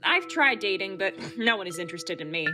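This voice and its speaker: A woman's voice: mid-range voice, expressive, a little fast, music in the background, lightly acted. A woman playing a blunt, insecure and sarcastic wife in a radio play. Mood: guarded, wry.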